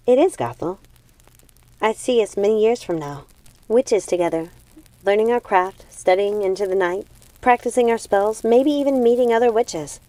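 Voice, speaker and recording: A calm, pale young storybook witch, read by a woman, playing peacemaker. Her mellow voice is lifted a little and reassuring, in a dry, close recording. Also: mid-range voice, normal speed, no echo, pitched above the performer's own voice, close to an everyday voice, rough recording. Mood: wistful. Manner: reassuring, reasoning.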